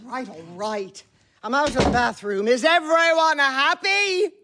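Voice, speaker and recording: An actress playing a haughty, theatre-loving spinster aunt, grand and dramatic, in an audio drama. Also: female voice, high voice, a little slow, pushed and bright. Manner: theatrical, grand, easily affronted. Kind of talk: stage-style audio drama acting.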